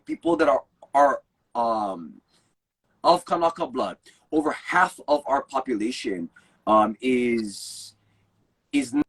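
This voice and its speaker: A man's voice: a little high, vocal fry, slightly rough, rough recording. A young man from Hawaii with a Hawaiian Pidgin accent, speaking earnestly in a casual chat. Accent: Hawaiian Pidgin English. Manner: earnest, animated speech in Pidgin phrasing. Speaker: guest.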